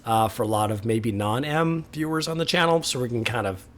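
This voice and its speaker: A man hosting a music reaction, talking casually with a slightly raspy voice from a sore throat, laughing often and stopping the track to comment. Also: mid-range voice, moderate pace.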